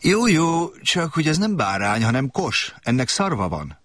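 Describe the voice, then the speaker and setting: A man voicing a small, gentle boy prince from a tiny planet, speaking high and full of wonder. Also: very animated, dry recording.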